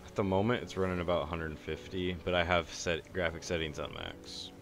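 A young man with a very deep voice, chatting casually and rambling while streaming a game. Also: fairly quick, vocal fry, noisy background. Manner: relaxed, rambling, laid-back. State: sore chest and ankle. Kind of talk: casual gaming chat. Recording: streamed voice chat, faint music behind.

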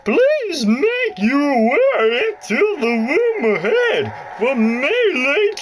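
A woman's voice: high voice, very animated, a little hiss or hum, voice pushed up, full character voice. A woman voicing a bossy older female spy chief from a video game, reading orders in a theatrical, stilted way. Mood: commanding. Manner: theatrical, stilted, drawn-out commands. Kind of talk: video game dialogue read aloud. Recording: loud game music behind.

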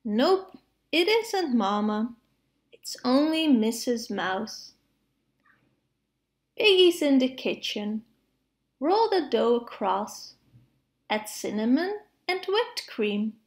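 A woman narrates a storybook for preschoolers in a high-pitched voice. Her delivery is very slow and measured, with lively, expressive swings in pitch. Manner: expressive, measured phrasing with playful sound words. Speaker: read-aloud narrator of children's picture books. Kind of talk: storybook read-aloud for preschoolers.

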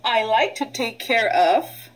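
A middle-aged woman, a preschool teacher, leading a read-aloud vocabulary lesson in an instructional way, pausing for children to repeat. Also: fairly high pitch, animated. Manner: instructional, prompting with pauses for repetition.

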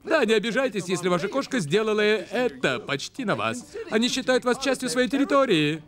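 A man's voice: sits high, very animated, a little fast, over music. An actor voicing a bossy male owl who lectures theatrically like a nature-show presenter in a cartoon dub. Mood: lecturing. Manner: didactic, theatrical.

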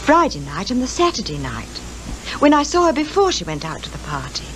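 An actress playing a sympathetic, chatty and respectful hotel maid with a British accent in a 1950s radio drama. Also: female voice, mid-range voice, huge pitch swings, brisk. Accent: British English. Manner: deferential, homely phrasing. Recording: noisy 1950s radio recording.

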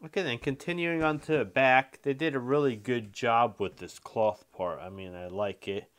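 A man's voice: mid-range voice, moderate pace, a bit bright. A man giving casual, informal unboxing and review commentary as a toy reviewer, easygoing and a bit repetitive.

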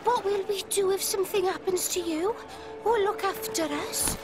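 A woman's voice: very high voice, moderate pace. A woman voicing an anxious, pleading little sibling in a video game. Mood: frightened, pleading. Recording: music behind the voice.

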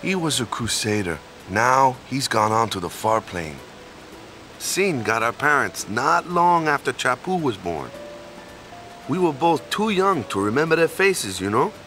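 Bright, folksy island guy. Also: medium pitch, expressive, unhurried, faint music behind. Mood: wistful. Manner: folksy and casual, tags sentences with "yeah?" and "you know?". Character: burly, boneheaded, good-hearted island man, soon a father. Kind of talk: video game voice acting.

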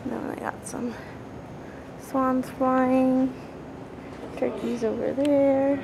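A woman's voice: high voice, extremely slow, vocal fry, a crowd in the background. A young woman casually narrating a walking tour of an indoor museum, pointing out exhibits as she goes, on a handheld camera mic. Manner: relaxed, pointing things out as she walks. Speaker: video tour host. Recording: noisy handheld camera audio.

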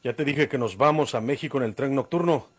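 Husky, gruff Mexican outlaw, played by an actor. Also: male voice, medium pitch, a little fast, close to an everyday voice. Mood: irritated. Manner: gruff, colloquial, threatening. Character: short-tempered, menacing male outlaw and father, rough rural Mexican. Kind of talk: 1950s-style Mexican radio drama acting.

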